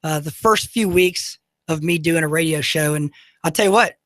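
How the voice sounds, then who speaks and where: Man in his 30s, high unusual voice, upbeat talk radio host, dry studio sound. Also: moderate pace, fairly bright tone, no room sound. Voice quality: high, unusual voice often mistaken for a woman. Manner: upbeat, motivational, many fillers. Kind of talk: talk radio monologue with ad reads. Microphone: studio microphone.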